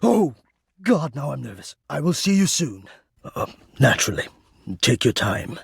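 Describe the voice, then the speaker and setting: A man's voice: a little high, big pitch swings, measured pace, gravelly, slightly rough, no echo, studio quality, full character voice. A man voicing a shy, anxious, romantic air duct spirit in a dating game, speaking earnestly and formally with some hesitation. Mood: nervous, formal. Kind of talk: dating game character acting.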